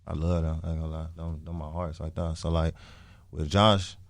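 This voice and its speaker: Young man, early twenties. Very low voice, dry close sound, slangy and emphatic interview storytelling. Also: flat delivery, moderate pace, vocal fry, dry recording. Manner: emphatic, repeats phrases, frequent swearing. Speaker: interview guest, music artist.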